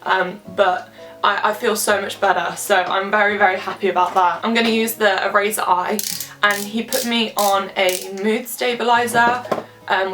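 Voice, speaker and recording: A woman's voice: mid-range voice, a bit bright, far from the mic, poor audio. A young woman of about twenty, slightly stuffy from a cold, chatting candidly to camera with lots of filler words while she does her makeup. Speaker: vlogger. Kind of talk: casual chat to camera. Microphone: camera some distance away.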